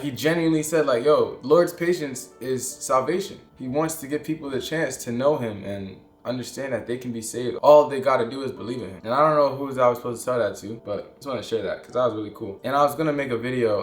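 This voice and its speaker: A young man vlogging in a soft, reflective, rambling way, with trailing fillers. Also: medium pitch. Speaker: content creator. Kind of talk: casual vlog talk.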